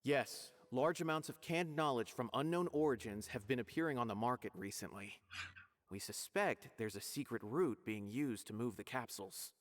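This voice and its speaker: An actor playing a polite, earnest male investigator in a video game, explaining things in a measured way. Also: mid-range voice, lightly acted. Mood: grave, urgent.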